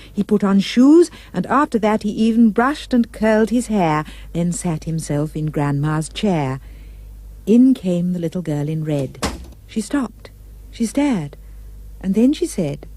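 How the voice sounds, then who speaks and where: Animated female storyteller. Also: medium pitch. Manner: theatrical. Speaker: narrator. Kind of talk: expressive rhyming storybook narration for a puppet show.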